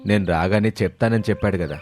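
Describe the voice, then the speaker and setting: A man voicing a stern but fair village landlord in an animated moral story. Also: lowish voice, fast, voice pushed down, lightly acted. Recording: music behind the voice.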